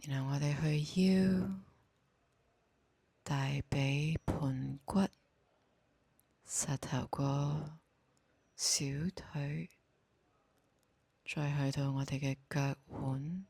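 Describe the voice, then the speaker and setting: Hong kong cantonese lady doing guided meditation, really slow n calm, soft breathy voice, super dry sound. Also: low voice, dry recording. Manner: slow, calm, gently instructing.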